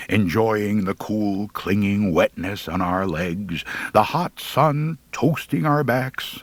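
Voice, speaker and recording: An American man narrating a humorous first-person story in an expressive, folksy way. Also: fairly low pitch, slightly rough, dry recording. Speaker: audiobook narrator.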